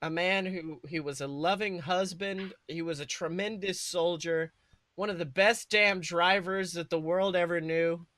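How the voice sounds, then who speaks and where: A man's voice: high-pitched, fairly even intonation, measured pace, pushed and bright, no room sound, studio quality. A man co-hosting a podcast, improvising a comedic story in an informal, sweary way with dramatic build-ups. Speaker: podcast co-host.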